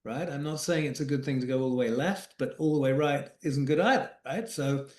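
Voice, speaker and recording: A man's voice: medium pitch, brisk, darkish tone, roomy sound. A man, an executive coach and guest, speaking reflectively in a podcast interview and often repeating words as he thinks aloud.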